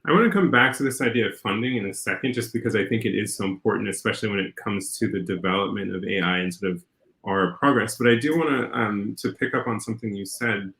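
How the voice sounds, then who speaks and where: A man's voice: lowish voice, fast, fairly bright tone. A man moderating a panel discussion, probing and putting questions to the panelists. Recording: echoey room.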